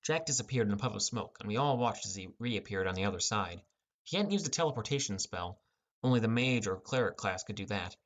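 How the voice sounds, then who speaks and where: A man's voice: on the low side, lively intonation, brisk. A man narrating a scripted first-person fantasy adventure as an audiobook.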